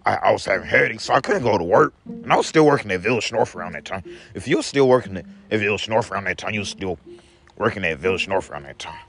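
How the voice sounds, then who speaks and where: Gravelly young man, rambling and repeating himself. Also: fairly low pitch, animated, quick pace, fairly bright tone. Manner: repeats each phrase back in second person. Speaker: vlogger. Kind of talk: rambling daily vlog monologue.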